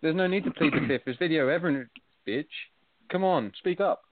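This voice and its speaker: A man's voice: fairly high pitch, fairly quick, dry recording. A man, a guest on a call, teasing and mock-pompous in joking banter. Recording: tinny call audio.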